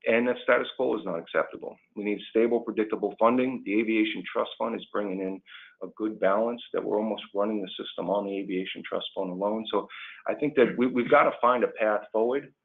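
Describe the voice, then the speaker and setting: A man's voice: on the low side, fast talker. A man speaking earnestly as a union leader guest on a policy webinar, repeating phrases for emphasis, over a tinny phone-like line. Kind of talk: prepared remarks and answers on a policy webinar.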